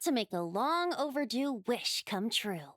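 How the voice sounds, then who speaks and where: A woman's voice: on the high side, sing-song, a little slow, slight background noise. A woman voicing a playful, boyish immortal bard in a fantasy game, with an airy, teasing manner. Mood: breezy, teasing.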